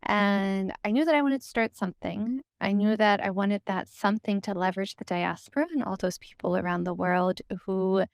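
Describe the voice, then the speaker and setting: Woman, American accent, medium pitch, reflective, informal conversational storytelling, podcast interview, dry sound. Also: steady tone, normal speed, creaky, few pauses, no echo. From United States. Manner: reflective, informal, frequent "like" and "you know". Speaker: podcast guest and co-founder.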